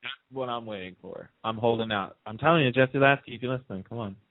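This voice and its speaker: A man's voice: medium pitch, fast talker, no room sound. Man telling stories over a phone-like line. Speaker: radio host. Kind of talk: casual chat, telling fan anecdotes.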